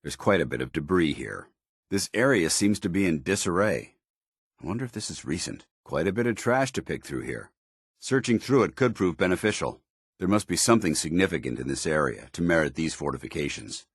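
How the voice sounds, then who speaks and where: Deep-voiced, stern military man. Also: expressive, fairly quick, breathy edge, dry recording, studio quality, exaggerated voice. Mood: alert. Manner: formal, military, clipped. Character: stern, disciplined soldier in power armor, middle-aged man. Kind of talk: scripted video game dialogue.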